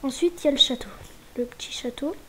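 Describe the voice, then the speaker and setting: Woman with a bit of a cold showing a game server, kinda high voice, lots of um and restarts, whispers to herself. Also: quick pace, pushed and bright, a little hiss or hum. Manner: hesitant, restarting sentences, muttering whispered asides. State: a little stuffy from a cold. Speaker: gaming channel host. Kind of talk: casual gameplay tour.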